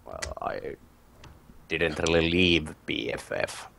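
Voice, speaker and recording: A man's voice: rumbling low, expressive, slow, vocal fry, a bit bright, a little hiss or hum. A man from Finland with a Finnish accent, chatting casually as a guest, joking and searching a little for words. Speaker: guest, gamer. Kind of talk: casual chat.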